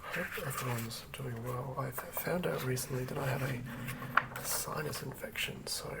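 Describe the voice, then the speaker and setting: An ASMR host speaking in a soft, breathy whisper, gently and quietly sharing tabletop fantasy material. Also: mid-range voice, steady tone, a little slow, heavy background noise. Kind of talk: whispered ASMR, chatting and reading aloud from a game manual.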